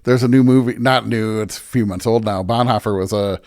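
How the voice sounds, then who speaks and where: A man's voice: on the low side, steady tone, brisk, a little mellow. An American man speaking as a pastor guest, casual but earnest, repeating phrases for emphasis.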